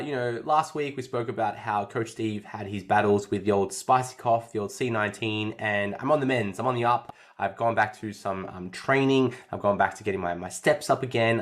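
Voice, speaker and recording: A man hosts a casual fitness podcast in an upbeat, chatty way. His voice sits a bit low and still sounds slightly nasal after an illness, and he tends to repeat his phrases. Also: moderate pace, hardly any pauses. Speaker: fitness coach and podcast host.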